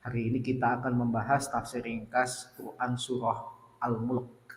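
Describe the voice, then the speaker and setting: A man preaching a study lecture in a mosque, speaking with a sore throat in a steady teaching tone and reciting Arabic prayers and sayings. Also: mid-range voice, moderate pace. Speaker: Islamic preacher. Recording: distant voice with long hall reverb and faint noise.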